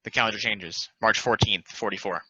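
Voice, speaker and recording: A man narrating stage directions at a play table read in a plain, deliberate, announcing manner. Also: lowish voice, fairly even intonation, bright, forceful tone, breathy edge, no echo, dull audio.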